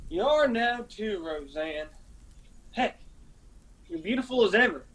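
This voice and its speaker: An actor playing a proud, stubborn young mountain sweetheart with a folksy Appalachian drawl in a radio drama. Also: male voice, high-pitched, animated, a little slow, fairly bright tone, poor audio. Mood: earnest, impatient.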